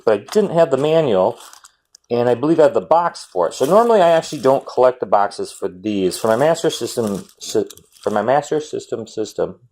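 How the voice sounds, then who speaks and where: A man, chatting casually and rambling about a game haul with lots of ums and self-corrections; he says his voice runs fairly high. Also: a little mellow. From Wisconsin, US. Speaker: retro game collector vlogger.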